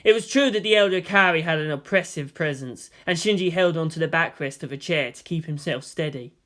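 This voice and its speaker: A man's voice: fairly high pitch, fairly quick, a bit bright. A man reading fan fiction as narrator, in a dramatic scripted storytelling style.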